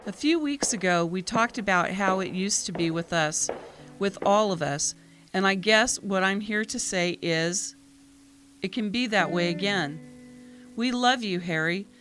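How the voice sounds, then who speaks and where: A woman playing an earnest, loving young friend in an audio drama, pleading in measured, heartfelt phrases. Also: medium pitch, a bit bright, slight background noise, lightly acted. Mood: tender. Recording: guitar music behind voice.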